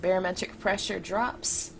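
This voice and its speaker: A woman's voice: on the low side, fairly even intonation, measured pace. A woman live streamer with a cold, chatting casually and jokily to her viewers. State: has a cold. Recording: clearly noisy background ambience.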